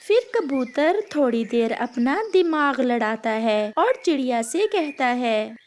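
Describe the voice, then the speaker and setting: A woman's voice: sits high, sing-song, slow pace. A woman giving scripted narration for a cartoon children's story.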